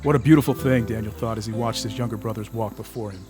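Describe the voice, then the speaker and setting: Male narrator over loud music. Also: on the low side, fast. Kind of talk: scripted audio drama narration.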